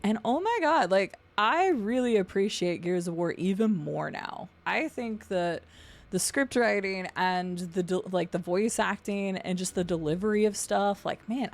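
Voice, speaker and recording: A young adult woman with a gravelly voice and deep vocal fry, chatting casually while streaming a game. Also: lively intonation, unhurried. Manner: chatty, swears and laughs at the game. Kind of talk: casual live-stream chat while gaming. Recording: faint game music behind.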